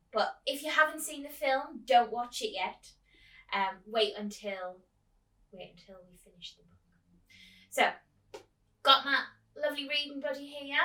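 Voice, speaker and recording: A woman doing an expressive storytime read-aloud, speaking to young listeners as a teacher would. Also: fairly high pitch. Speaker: teacher reading aloud to children. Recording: echoey room, distant mic.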